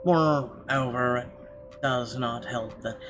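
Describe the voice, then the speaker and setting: A man's voice: mid-range voice, takes it slowly. A man reading a horror visual novel's narration aloud as a gamer, in a dramatic storytelling way with occasional stumbles. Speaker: let's-play gamer. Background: game music.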